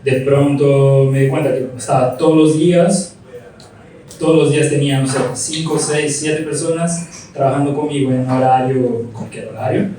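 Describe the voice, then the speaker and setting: A man from Brazil with a Brazilian accent mixed with Argentine Spanish, telling his story in a reflective, unhurried way during a casual interview. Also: medium pitch, fairly even intonation, dark tone. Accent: Brazilian Portuguese with Argentine Spanish. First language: Portuguese. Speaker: studio founder, interview guest. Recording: echoey room, distant mic, faint hum.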